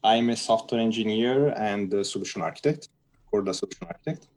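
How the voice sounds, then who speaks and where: A man from northern Italy, answering interview questions in a relaxed, hesitant way with fillers. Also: lowish voice, fairly quick. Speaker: guest, software architect.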